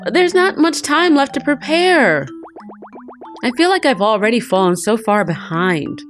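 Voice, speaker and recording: Visual novel mermaid heroine, played by a woman: dreamy, girlish, bright-hearted, high and animated. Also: unhurried, soft background music. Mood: worried. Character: cute, bubbly, dreamy young female mermaid dancer.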